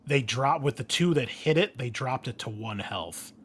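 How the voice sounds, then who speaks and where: A man's voice: mid-range voice, lively intonation, moderate pace, a bit bright, slightly rough. A man hosting a tabletop game as game master, chatting casually and teasing the players. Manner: jokey, teasing.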